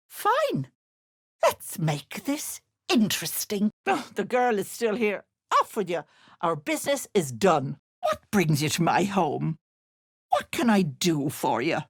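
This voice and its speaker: Swooping, sly old hag auntie. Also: female voice, medium pitch, no echo, clean studio sound, heavily acted. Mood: mocking, theatrical, then sly, coaxing. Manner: cloying pet names sliding into menace. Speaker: video game villain. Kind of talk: theatrical video game character acting.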